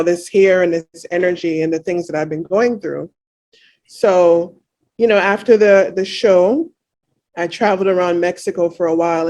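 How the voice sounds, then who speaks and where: A woman's voice: fairly low pitch, darkish tone. A woman in her thirties from Jamaica, a guest in a casual interview, talking reflectively with many "you know" fillers.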